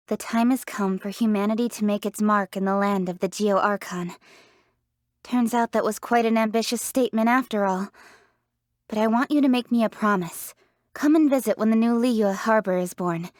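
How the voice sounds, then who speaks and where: A woman's voice: mid-range voice, darkish tone, dry recording, clean studio sound, lightly acted. A young woman voicing a diligent, proud young city official in a video game, speaking in an articulate, assertive, matter-of-fact way. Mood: sincere, appreciative. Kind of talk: scripted video game character voice lines.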